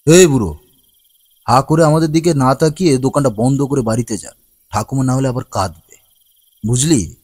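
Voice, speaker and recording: A man playing a husky, falsely friendly young thug. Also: mid-range voice, moderate pace, long pauses, a little mellow, no echo, close to an everyday voice. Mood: threatening. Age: young adult. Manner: falsely polite, pushy. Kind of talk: animated ghost story voice acting.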